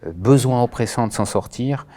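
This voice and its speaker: A man's voice: medium pitch, moderate pace, dark tone, a little hiss or hum. A man born in France and raised in Senegal, answering interview questions earnestly, with many hesitations and restarts. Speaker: director of a Senegalese association.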